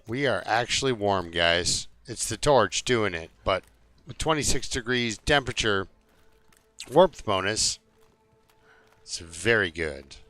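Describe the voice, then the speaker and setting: A man's voice: on the low side, expressive, slow pace, fairly bright tone, no room sound, soft background music. A man live streaming a video game, chatting casually and jokingly to his viewers in an easygoing, rambling way.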